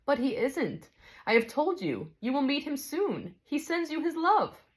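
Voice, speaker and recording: A woman doing a serene, gentle male spirit. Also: high-pitched, measured pace, pitched above the performer's own voice. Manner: calm, gentle, reassuring.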